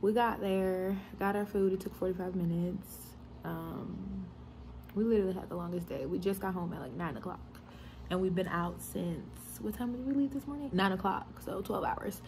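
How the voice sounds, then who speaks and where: A woman's voice: medium pitch, moderate pace, darkish tone, slight background noise. A young woman vlogger talks casually into a phone at arm's length. Her delivery is informal and chatty, like an update to followers. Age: 20s. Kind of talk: casual vlog chat.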